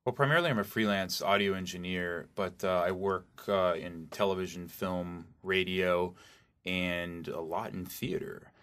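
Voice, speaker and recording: A man giving informal interview answers as an audio engineer, haltingly thinking aloud as he explains. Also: low voice, steady tone, fairly bright tone.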